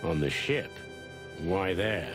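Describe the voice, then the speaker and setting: An actor playing a world-weary, terse rooster detective in a film-noir adventure game. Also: male voice, deep voice, expressive, slow, over music. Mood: sober. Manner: terse, hard-boiled, dry.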